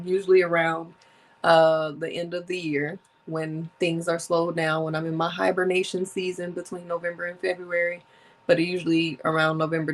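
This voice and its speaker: A woman's voice: lowish voice, rough recording. A woman in her thirties hosting her own podcast, talking casually and animatedly and laughing often. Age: 30s. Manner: chatty, animated, laughs often, sips drinks. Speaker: podcast host. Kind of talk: casual solo podcast chat.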